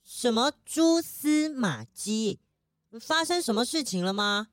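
Glum, high-voiced little kid. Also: female voice, expressive, slow pace, no echo, studio quality. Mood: curious. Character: glum, innocent young child of a rich boss.

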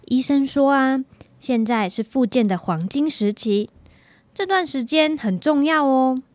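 A woman's voice: high-pitched, expressive, measured pace, no echo. A woman playing a caring, encouraging mother in a children's radio drama. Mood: gentle, encouraging.

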